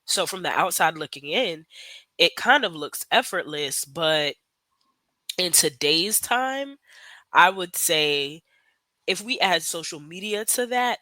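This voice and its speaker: A woman's voice: low voice, bright, forceful tone, no echo. A woman in her twenties, a podcast guest, speaking reflectively in a casual interview, hedging often with fillers as she thinks aloud. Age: 20s.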